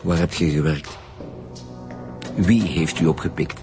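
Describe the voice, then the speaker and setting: An actor playing a blunt, impatient police detective in a Flemish radio drama, pressing hard as an interrogator. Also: male voice, low voice, measured pace, over music. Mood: stern. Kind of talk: naturalistic radio drama acting.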